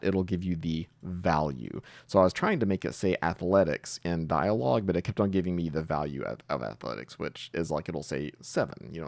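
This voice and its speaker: A man's voice: deep voice, creaky, a little mellow, no echo. A man giving an informal coding tutorial, explaining step by step and thinking aloud as he types. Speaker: programming tutorial host.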